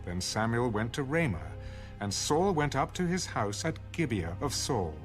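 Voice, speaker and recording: A man's voice: medium pitch, very animated, normal speed. A man giving scripted narration of a Bible story as the narrator of a dramatized reading. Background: music.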